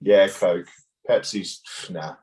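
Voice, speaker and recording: A man's voice: sits low, steady tone, slow pace, darkish tone. A man as a podcast guest on a video call, laid-back and self-mocking, swearing casually. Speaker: guest, former rugby player.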